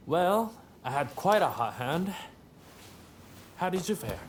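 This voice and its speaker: Breezy roguish young samurai, lively swings. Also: male voice, sits high, slow pace, faint music behind, exaggerated voice. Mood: breezy, teasing. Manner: breezy, playful, teasing. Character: easygoing roguish young samurai gambler, cheeky and carefree. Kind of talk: video game cutscene acting.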